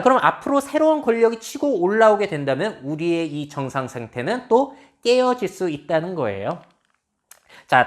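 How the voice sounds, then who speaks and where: A woman lecturing on social change, explaining step by step and asking her audience rhetorical questions. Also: lowish voice. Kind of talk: lecture on future trends.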